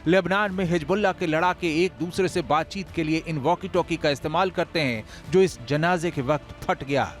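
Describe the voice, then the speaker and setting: Male news narrator, high voice, emphatic and dramatic scripted delivery over background music. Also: normal speed, few pauses.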